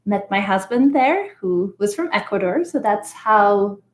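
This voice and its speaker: A woman from Belgium, a professor, giving measured, explanatory answers in a podcast interview. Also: medium pitch, animated. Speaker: guest, engineering professor. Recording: echoey room.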